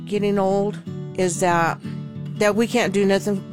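A woman's voice: mid-range voice, level tone, unhurried. An older woman speaking upbeat and emphatic in an interview. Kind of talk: documentary interview. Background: music.

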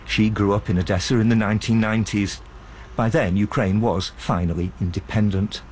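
A man's voice: lowish voice, fairly even intonation, fairly quick, slight background noise. A man working as a reporter, presenting a scripted documentary narration.

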